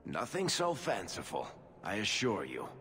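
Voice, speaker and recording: Deep-voiced wry male storyteller. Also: wildly swooping pitch, bright, forceful tone, exaggerated voice. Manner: wry, theatrical, deliberate. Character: sly, wry male storyteller spinning a grand tale. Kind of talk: dramatic storytelling narration in a fantasy game. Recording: music behind the voice.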